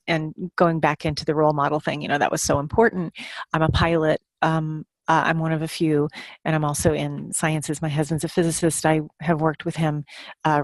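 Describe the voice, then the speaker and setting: A woman's voice: low-pitched, no room sound. A woman author telling anecdotes in a casual podcast conversation.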